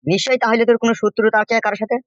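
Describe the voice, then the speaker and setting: Man voicing a quick, nosy cartoon village uncle. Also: high voice, steady tone, dry recording, natural voice. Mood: questioning. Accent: rural West Bengal. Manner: questioning, impatient, colloquial. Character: nosy, exasperated village uncle, goading and correcting. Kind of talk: comic cartoon dialogue. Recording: dull lo-fi sound.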